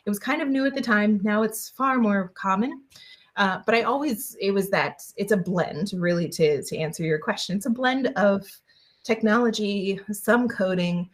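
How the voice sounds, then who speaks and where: A woman's voice: medium pitch, moderate pace. A woman guest on an interview podcast, answering in a chatty way with many fillers and restarts. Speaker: guest, engineer.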